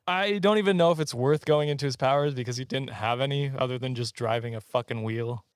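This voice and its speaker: A man's voice: mid-range voice, brisk, no echo. A man co-hosting a podcast, telling a comic story casually, tripping over his words, giggling and swearing.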